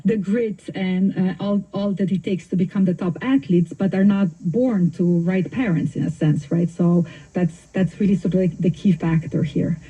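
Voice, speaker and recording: A woman's voice: fairly low pitch, steady tone, normal speed, few pauses, mellow, slightly rough, low-quality recording. A woman from Slovenia with a Slovenian accent, a professor answering radio interview questions in a thoughtful way, with many fillers and restarts. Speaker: economics professor, guest.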